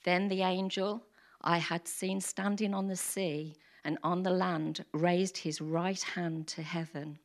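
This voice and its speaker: A woman with a croaky voice reading scripture aloud to a congregation, careful and measured. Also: on the low side, fairly even intonation.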